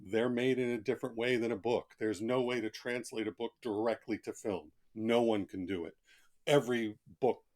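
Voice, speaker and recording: A man's voice: medium pitch, a little mellow. A man speaking as a guest author in a conversational interview, talkative and reflective, explaining things in plain asides.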